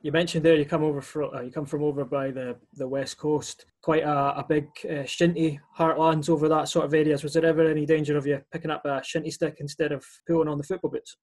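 Higher-voiced podcast host. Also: male voice, level tone, fast, few pauses, a little mellow. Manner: friendly, long winding questions. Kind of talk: interview questions.

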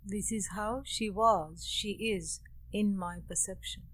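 A woman leading a guided meditation, speaking in short, measured phrases with long pauses between them. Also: medium pitch, fairly bright tone. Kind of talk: guided meditation with opening Sanskrit chant.

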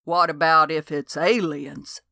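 A woman voicing a suspicious, superstitious old country man with a slow drawl, for an audiobook. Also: fairly high pitch, steady tone, gravelly, bright, forceful tone, no echo, clean studio sound, full character voice. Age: older. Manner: rural drawl, slow and conspiratorial.

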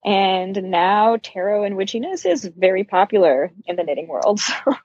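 A woman's voice: mid-range voice, lively intonation, moderate pace, a little mellow, dry recording, highs cut off. A middle-aged woman from Brazil being interviewed, telling personal stories in a candid, self-deprecating, conversational way. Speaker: interview guest, yarn shop owner.

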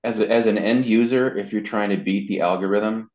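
Low male voice, casual interview guest, emphatic explainer, roomy muffled recording. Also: steady tone, fairly quick, a little mellow. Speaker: interview guest, web marketing consultant and voice actor.

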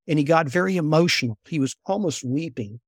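An older man, a former intelligence officer, telling stories in a soft, unhurried voice as an interview guest. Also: a little high, expressive, slightly rough, dry recording, studio quality. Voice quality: soft-spoken. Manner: unhurried, repeats words when restarting. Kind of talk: conversational storytelling.

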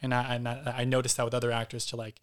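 Man with a flat, even voice. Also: mid-range voice, racing. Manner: rambling, many fillers and restarts. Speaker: actor and podcast guest. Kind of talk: casual podcast chat.

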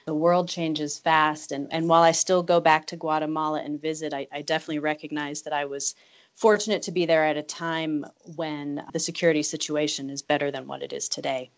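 A woman's voice: deep voice, fairly even intonation, a little fast. A woman in her late thirties, from Virginia, answering interview questions thoughtfully with frequent restarts and repeated words. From Virginia, United States. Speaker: guest, government attaché.

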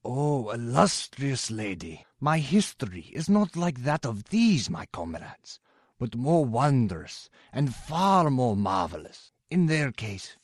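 Male actor as rueful, proud dervish: mid-pitched, unhurried, grand archaic audio-drama storytelling. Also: darkish tone, no room sound, clean studio sound. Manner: grand, deliberate archaic declamation.

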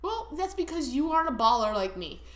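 A woman's voice: sits high, sing-song, brisk. A woman trading playful comic banter, mock-indignant and boastful. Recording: echoey room.

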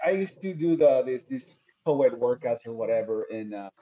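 Co-host, male, husky breathy voice, rambling jokey talk, dull lo-fi mic. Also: medium pitch, lively intonation, moderate pace, dark tone. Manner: joking, rambling, lots of "like" and restarts. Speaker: podcast co-host. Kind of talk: casual podcast chat.

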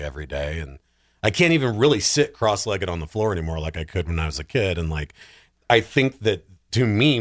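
A man in his forties chatting casually on a podcast panel in a self-deprecating, joking way. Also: low voice. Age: 40s. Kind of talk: casual chat.

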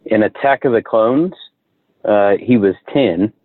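A man's voice: fairly low pitch, unhurried. A man taking part in a casual panel discussion, chatting as one of the guests over a remote-sounding line. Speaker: podcast panelist. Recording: tinny phone-like line, roomy echo.

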